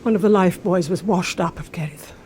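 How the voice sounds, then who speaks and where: A woman's voice: lowish voice, a little fast, a little mellow, breathy edge. An actress playing a sinister, obsessive housekeeper in a radio drama, insinuating and intimate, lingering on her phrases. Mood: brooding.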